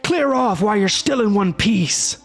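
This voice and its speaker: A huge bear-headed monster roars and blusters indignantly, as a man puts him on. His voice sits far above the narrator's own, loud and strained. Soft music plays faintly underneath. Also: high-pitched, expressive, moderate pace, pitched above the performer's own voice. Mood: roaring threats. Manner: roaring, bluster turning to grumbling.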